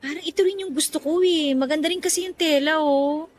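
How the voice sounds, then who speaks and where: A woman's voice: sits high, brisk, close to an everyday voice. An actress playing a chatty, bossy and playful woman out shopping, in casual radio drama dialogue. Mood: choosy, casual.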